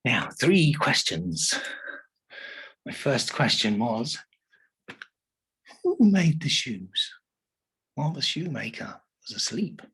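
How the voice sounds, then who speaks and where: A teacher with an English accent reading a children's story aloud with expressive delivery, then asking the listeners questions about it. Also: male voice, medium pitch, takes it slowly, pauses often, breathy edge, low-quality recording.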